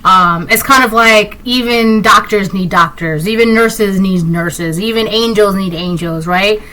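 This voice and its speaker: Bright-voiced woman doing a tarot reading. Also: mid-range voice, measured pace, low-quality recording. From Puerto Rico. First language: Spanish. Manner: rambling, many fillers and restarts. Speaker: tarot reader. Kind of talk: casual intuitive reading to camera.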